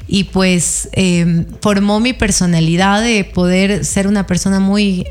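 A woman's voice: mid-range voice, a little slow. A woman with a southern coastal Ecuadorian accent, a guest chatting casually and expressively in an interview. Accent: southern coastal Ecuadorian Spanish. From Ecuador, El Oro province. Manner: chatty, expressive, frequent laughs and fillers.

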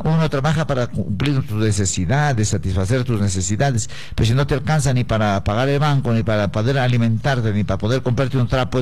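A man's voice: mid-range voice, darkish tone. Preachy man on live radio. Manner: emphatic, repetitive, preachy, addresses listeners as brothers. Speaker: radio psychic answering letters. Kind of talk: live broadcast consultation, preacher-like.

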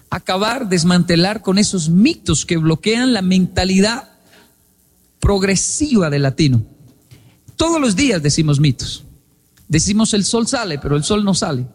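A man's voice: sits high, darkish tone, big-room sound. A man from Colombia, giving a humorous storytelling talk on stage and acting out remembered conversations. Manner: humorous, acts out quoted dialogue. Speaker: public speaker.

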